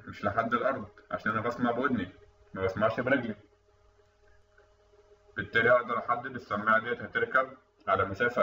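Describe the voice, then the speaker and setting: A man with an Egyptian Arabic accent giving a technical lecture over a screen recording, explaining in a quick, conversational way. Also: on the low side, pushed and bright. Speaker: instructor. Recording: distant, dull, echoey room mic with faint noise.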